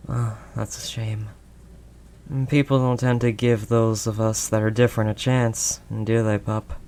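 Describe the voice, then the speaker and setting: A man playing a protective older male werewolf loner, gentle and conversational, in an intimate audio roleplay. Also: lowish voice, little pitch movement, a little slow, a little hiss or hum. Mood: sympathetic, reassuring. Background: rain ambience sound effects.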